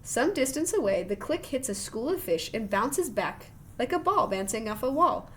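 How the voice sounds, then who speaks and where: A woman reading a children's science book aloud like a teacher, expressive and full of wonder, with chatty, encouraging asides to young listeners. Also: a little high, normal speed, slight background noise.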